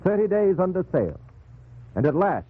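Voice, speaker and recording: A man's voice: high-pitched, big pitch swings, normal speed, husky, phone-quality sound, heavily acted. A man narrating a 1940s radio drama in a formal, sweeping storytelling style. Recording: thin, noisy 1940s radio recording.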